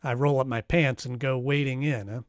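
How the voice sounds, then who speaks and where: A man's voice: medium pitch, steady tone, moderate pace, dry recording, natural voice. A man playing an unflappable, wisecracking private detective who also narrates in a first-person noir radio style. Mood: wry, unflappable. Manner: dry, wisecracking.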